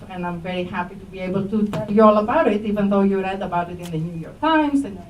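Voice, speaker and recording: A woman's voice: mid-range voice, darkish tone, slight background noise. A middle-aged woman with a Greek accent giving a friendly public science talk, asking the audience questions, with many fillers. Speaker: astronomy professor. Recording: echoey room, voice from far off mic.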